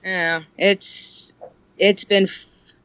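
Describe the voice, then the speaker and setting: A woman's voice: medium pitch, level tone, drawn-out words, fairly bright tone, smooth, no room sound, rough recording. A woman chatting casually on a podcast, rambling and joking, laughing often. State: ill. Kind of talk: casual chat.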